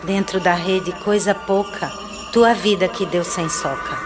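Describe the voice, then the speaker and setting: A woman playing a mourning countrywoman in an animated film, reciting bleak rhythmic burial verse. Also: fairly low pitch, measured pace, over music, close to an everyday voice.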